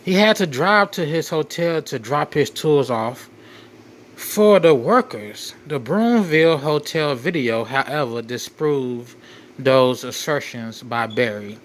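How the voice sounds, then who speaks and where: A man hosting a crime commentary, reading out case details in a halting way and often repeating his phrases. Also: mid-range voice, lively intonation, rough recording. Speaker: show host.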